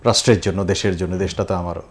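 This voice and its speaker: A man with a fairly low voice and a Bangladeshi accent hosts an interview. He speaks deliberately, building long, reflective questions and pausing in the middle of his sentences. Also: fairly even intonation. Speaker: talk show host.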